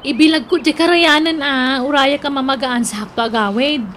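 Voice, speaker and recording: High-pitched, easygoing villager. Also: female voice, steady tone, moderate pace, a bit bright. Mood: matter-of-fact. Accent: Ilocano. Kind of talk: Ilocano radio drama acting. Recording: faint background noise.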